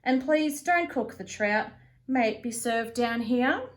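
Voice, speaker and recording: A woman's voice: fairly high pitch, lively intonation, takes it slowly. A woman voicing a cheeky, stubborn little girl from a children's storybook, defiant and insistent. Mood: haughty.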